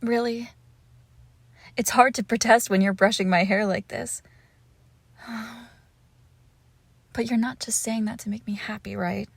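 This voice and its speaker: Woman doing a possessive lonely witch asmr thing, slow and breathy w lots of whispering, bit of creaky fry. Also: fairly high pitch, fairly even intonation, dry recording. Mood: shy, playful. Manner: soft, breathy, often slipping into whispers, tender cooing. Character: lovesick possessive forest witch, doting, lonely, clingy. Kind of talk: intimate ASMR roleplay, scripted monologue.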